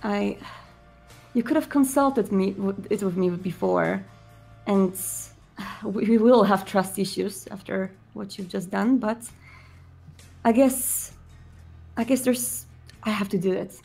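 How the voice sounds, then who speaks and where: Sad vampire prince, played by a woman, hesitant and wounded, halting speech with a creaky edge. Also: medium pitch, a little mellow, soft background music, heavily acted. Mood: hesitant, hurt.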